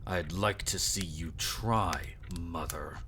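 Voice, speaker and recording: A man's voice: deep voice, slow pace, pushed and bright, a little hiss or hum, heavily acted. A man playing a scoffing, dismissive vampire son who defies his elders in an audio drama. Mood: defiant, scornful. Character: insolent, defiant vampire son. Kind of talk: audio roleplay drama acting.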